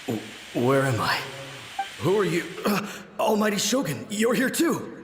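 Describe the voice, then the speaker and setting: A man voicing a devoted, anxious subject of a shogun in a video game, deferential and excitable. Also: high-pitched, slow, full character voice. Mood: startled, concerned. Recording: echoey, long reverb effect. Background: prominent music.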